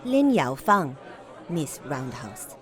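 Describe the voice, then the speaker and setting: A woman's voice: low-pitched, big pitch swings, slow pace, some chatter behind, exaggerated voice. A woman playing a poised, imperious lady in a noir audio drama, speaking formally with cool, clipped precision. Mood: composed, insistent. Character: poised, imperious, wealthy daughter of a doctor.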